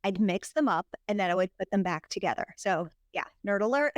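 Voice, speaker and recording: A woman's voice: mid-range voice, animated, a little fast, no room sound. A woman guest on a podcast, telling her story in a bubbly, chatty way and laughing often. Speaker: podcast guest, health coach. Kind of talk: casual interview chat.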